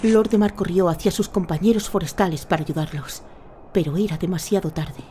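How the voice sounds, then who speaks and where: A woman narrating a scripted audio drama in a measured, dramatic storytelling manner. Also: fairly low pitch, mellow, slight background noise.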